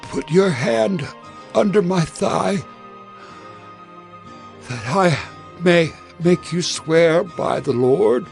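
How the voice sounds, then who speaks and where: An actor playing an aged, solemn patriarch who commands his servant in deliberate short phrases, in a dramatized scripture reading. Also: high voice, vocal fry, heavily acted. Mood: solemn, commanding. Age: older.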